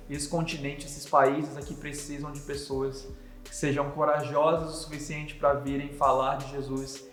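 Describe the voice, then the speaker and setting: Earnest young guy w a manaus accent, kinda high voice, echoey room w faint music behind. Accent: Manaus Brazilian Portuguese. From Manaus, Brazil. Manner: chatty, earnest, religious talk. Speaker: vlogger and missionary. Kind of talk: casual Q&A vlog.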